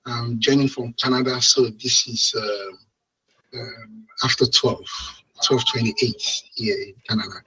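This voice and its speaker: A man from Nigeria with a Nigerian accent giving a webinar presentation over a video call, his voice hoarse and congested from a cold and cough. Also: mid-range voice, steady tone, slow, bright, forceful tone, low-quality recording. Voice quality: husky. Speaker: guest speaker, IT project manager. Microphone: online video call microphone.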